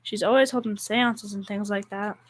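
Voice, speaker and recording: A woman's voice: medium pitch, fairly even intonation, moderate pace, lightly acted. A young female performer playing a wry, long-suffering housewife and mother in a school old-time radio comedy. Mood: gossipy, concerned. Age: young teen.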